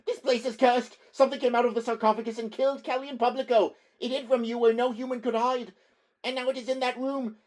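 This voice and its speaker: A man's voice: very high-pitched, little pitch movement, brisk, a little mellow, voice pushed up. A man voicing a frightened male temple clerk whose voice shrills and cracks with panic. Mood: hysterical.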